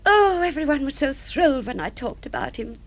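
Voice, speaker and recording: A middle-aged wife with grand social dreams, in vintage radio drama style. Her voice is fairly high and sweeps up and down with theatrical, affected gushing. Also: big pitch swings, fairly quick. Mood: boastful, excited. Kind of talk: mid-century radio drama acting.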